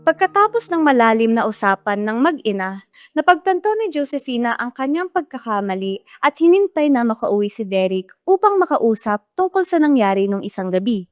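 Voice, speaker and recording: A woman's voice: a little high, sing-song, a little fast, no echo, clean studio sound. A Filipino woman giving scripted narration for a radio drama.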